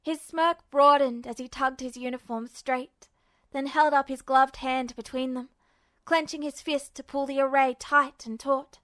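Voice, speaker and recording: A woman reading fan fiction aloud as scripted narration, with dramatic, expressive storytelling. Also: sits high, measured pace. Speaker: amateur audiobook narrator.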